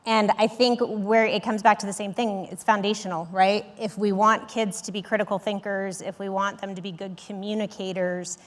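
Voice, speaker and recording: A woman speaking as a panelist, explaining her view in a plain-spoken, emphatic way. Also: mid-range voice. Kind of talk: panel discussion, explanatory talk.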